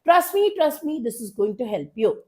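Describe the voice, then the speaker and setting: A woman with a South Indian accent teaching a live online exam revision class, hoarse from a cold, urging students on and repeating phrases for emphasis. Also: on the high side, sing-song, normal speed, a little mellow. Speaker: chemistry teacher.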